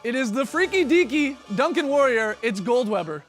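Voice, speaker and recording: Male sports show host, high and playful, hammy emcee energy, light music behind. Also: sing-song, moderate pace. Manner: playful emcee, hamming up intros. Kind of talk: studio sports talk show.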